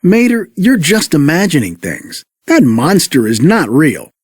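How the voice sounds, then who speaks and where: Upbeat, self-assured young race car, read by a man, breezy storybook hero with very lively pitch. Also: unhurried, slightly rough, no room sound, studio quality, heavily acted. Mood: reassuring. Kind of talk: read-along children's story character.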